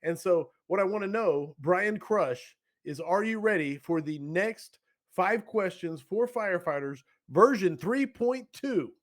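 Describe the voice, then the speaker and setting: A man's voice: high-pitched, lively intonation, a little slow. A man hosting a casual podcast interview, enthusiastic and playful, laughing often. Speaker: podcast host.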